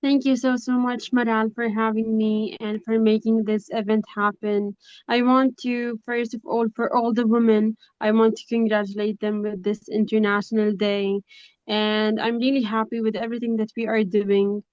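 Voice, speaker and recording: A young woman introduces herself as a webinar guest. Her pitch is fairly high and stays very even. The room adds no echo, though the call audio is slightly dull. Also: normal speed, clear, resonant tone. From Lebanon. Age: young adult. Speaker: guest speaker, project coordinator. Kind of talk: informal self-introduction at a webinar. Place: online video call. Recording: dry but dull, lo-fi video call.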